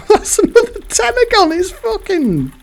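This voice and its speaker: A man's voice: very high voice, huge pitch swings, a little slow, vocal fry. A man giving casual, exasperated commentary while playing a fruit machine, swearing now and then. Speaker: slot machine video host.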